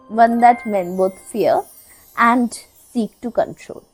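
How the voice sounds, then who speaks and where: A woman reading scripted, dramatic narration as a host, in measured literary phrasing with deliberate pauses. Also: on the high side, husky, low-quality recording. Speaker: video essay narrator, podcast host. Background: music.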